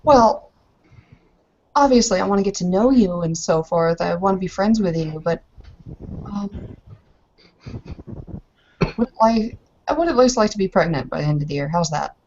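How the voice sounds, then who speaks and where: A woman's voice: mid-range voice, expressive, normal speed, pauses often, darkish tone, muffled recording, a little hiss or hum, lightly acted. A woman playing a frank, insistent young newlywed wife in a roleplaying game. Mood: frank, determined. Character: frank, demanding young newlywed noblewoman planning motherhood.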